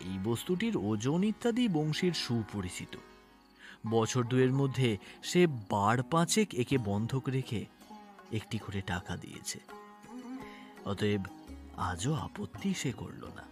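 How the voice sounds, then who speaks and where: A man narrating a literary story dramatically, in a measured and expressive way. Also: mid-range voice. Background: background music.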